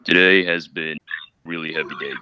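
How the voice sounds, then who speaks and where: A man's voice: rumbling low, steady tone, moderate pace, muffled recording. A man talking casually as a participant in a group voice call, on a noisy mic.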